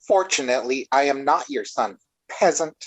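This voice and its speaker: A man's voice: medium pitch, lively intonation, moderate pace, lightly acted, rough recording. A prissy young man played by an actor in a vintage radio comedy. He is snobbish and pompous, every bit the offended mama's boy. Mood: haughty. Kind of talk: 1940s radio sitcom acting.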